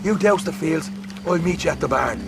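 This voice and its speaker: An actor playing a cocky, fiery young Irish outlaw in a video game, brash and folksy in manner. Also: male voice, a little high, expressive, fairly quick, gravelly. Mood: cocky, eager. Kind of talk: video game dramatic acting. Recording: loud music behind, vehicle sounds.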